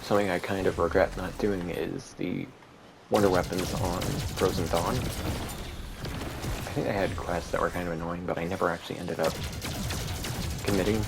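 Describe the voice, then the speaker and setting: A man giving rambling, monotone commentary while playing a video game, with many fillers. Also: deep voice, moderate pace, creaky, long pauses. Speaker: gaming video creator. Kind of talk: casual gameplay commentary. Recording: steady background noise.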